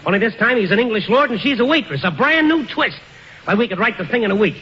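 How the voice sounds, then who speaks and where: An actor playing an excitable, flippant screenwriter who talks emphatically like a salesman, in a 1940s radio comedy. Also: sits high, fast talker. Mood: excited. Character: fast-talking, excitable screenwriter pitching stories, flippant. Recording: dull, noisy old radio broadcast recording.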